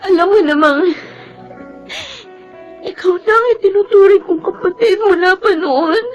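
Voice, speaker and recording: A woman's voice: very high-pitched, moderate pace, dull audio. An actress playing a grief-stricken young woman in a Tagalog radio drama, emotional and fierce, refusing to accept a loss. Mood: tearful grief. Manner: emotional, tearful, pleading and accusing. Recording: dramatic music bed behind.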